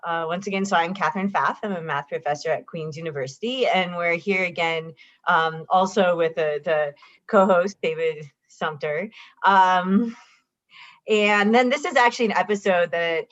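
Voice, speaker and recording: A woman's voice: fairly low pitch, moderate pace, a bit bright, muffled recording. Woman hosting a live video panel. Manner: chatty, with frequent restarts and fillers. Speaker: math professor and co-host. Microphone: video call.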